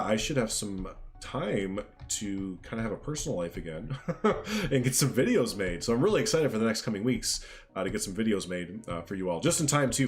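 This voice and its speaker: A man hosting a casual livestream, stuffed up from a sinus cold, chatting in a friendly, rambling way and reading out chat. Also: lowish voice. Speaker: livestream host.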